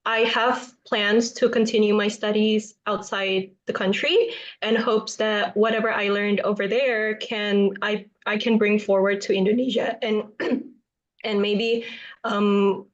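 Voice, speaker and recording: A woman's voice: medium pitch, little pitch movement, measured pace. A young woman answering a judge's question off the cuff, earnest, with some hesitations. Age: young adult. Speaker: speech contestant answering judges. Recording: dull, lo-fi sound.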